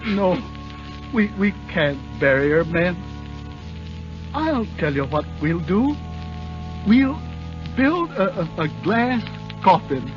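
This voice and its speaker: An actor playing an earnest little dwarf who stammers tenderly, in a 1930s radio drama. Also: male voice, high-pitched, big pitch swings, slow pace, pauses often, full character voice. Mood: mournful. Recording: lo-fi 1930s radio broadcast with music.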